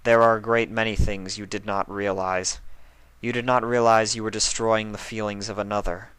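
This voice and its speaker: A man voicing a stern but caring pony princess of the night who talks softly and gravely. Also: on the low side, little pitch movement, normal speed, dry recording, lightly acted.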